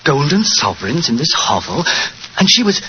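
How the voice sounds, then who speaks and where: An actor playing an excitable, uneasy village painter in a 1940s radio drama. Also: male voice, a little high, moderate pace. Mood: alarmed. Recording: muffled, noisy 1940s radio recording.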